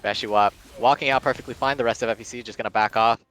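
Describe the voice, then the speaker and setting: A man's voice: mid-range voice, fairly even intonation, fast, a bit bright, poor audio. A man giving live play-by-play commentary on a video game match, mixed with casual chat.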